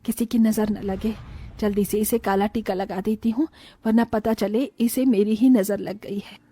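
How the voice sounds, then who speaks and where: A woman voicing a bossy, biased older mother-in-law in an animated moral tale, commanding and fussy. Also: mid-range voice, moderate pace, breathy edge, close to an everyday voice. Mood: doting.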